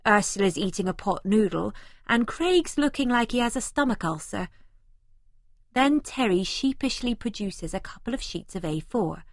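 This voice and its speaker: A woman's voice: medium pitch, dry recording. A woman reading first-person scripted narration for an audiobook. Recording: remastered audiobook, close and dry.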